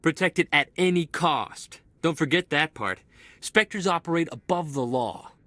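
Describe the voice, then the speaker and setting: An actor playing an eager, restless young male corporal in a video game cutscene, talking in an excitable, boyish way. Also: a little high, a little slow, no room sound. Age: young adult.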